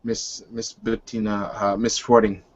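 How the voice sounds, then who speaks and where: Through slightly muffled audio comes a proud male werewolf of the tabletop game , voiced by a man. He is low and slow, choosing courtly, formal words with a temper underneath. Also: level tone. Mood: apologetic, formal.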